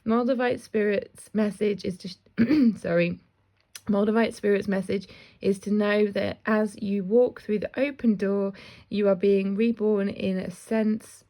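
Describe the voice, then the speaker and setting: A woman with a slightly croaky voice gives a casual spiritual card reading, chatty and self-deprecating. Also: mid-range voice, fairly even intonation, a little slow. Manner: chatty, warm asides, often laughs at herself. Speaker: intuitive card reader.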